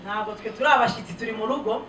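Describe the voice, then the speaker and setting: A woman interpreting a sermon, rendering each phrase quickly and fluently. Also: on the high side, fairly bright tone, slight background noise. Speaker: interpreter, pastor's wife. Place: church hall. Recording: echoey church hall, mic off at a distance.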